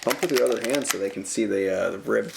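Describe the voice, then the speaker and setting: A low-pitched man hosting a live stream speaks with a heavily gravelly, fry-filled voice, stopping and restarting as he works through the setup. The room rings with echo, and loud noise runs underneath. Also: fast talker. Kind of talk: casual chat while running a stream.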